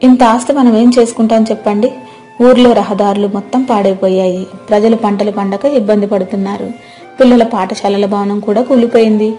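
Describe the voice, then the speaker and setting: A kindly, admonishing wife acted by a woman with a mellow, mid-pitched voice. She is earnest and moralizing in a story drama, with soft music underneath. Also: fairly even intonation, a little fast, lightly acted. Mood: reproachful, earnest. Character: kindly, moralizing wife reproaching her miserly husband. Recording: music behind the voice.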